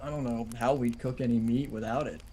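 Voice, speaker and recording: A man's voice: mid-range voice, steady tone, brisk, natural voice. A man playing a mild, curious survivor with a hesitant manner in a drama table read. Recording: noisy home video-call microphone.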